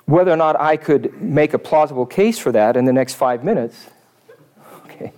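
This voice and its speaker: A man preaching a sermon in a thoughtful, measured way, pausing to read quotations aloud. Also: on the high side, darkish tone.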